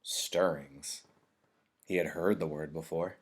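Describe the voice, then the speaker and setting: A man's voice: a bass voice, fairly even intonation, slow pace, husky. A man narrating a novel as a teacher reading aloud to students. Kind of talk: storybook narration, read aloud.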